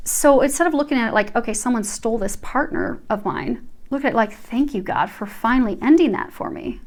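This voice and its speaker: A woman giving an earnest, reassuring tarot reading, speaking straight to her viewers. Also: fairly high pitch. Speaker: tarot reader.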